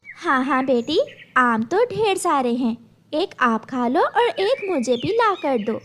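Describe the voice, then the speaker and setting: A woman voicing a kind mother sparrow in a cartoon, patient but firm, with a gentle, reasonable manner. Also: high voice, big pitch swings, slow pace, a little hiss or hum, heavily acted. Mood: caring.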